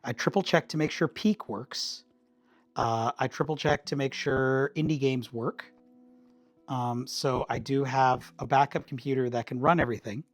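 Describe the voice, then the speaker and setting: A slightly hoarse man chatting casually with viewers while cooking in his home kitchen, rambling in an easygoing way and chuckling often. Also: mid-range voice, steady tone, moderate pace, faint music behind. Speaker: streamer.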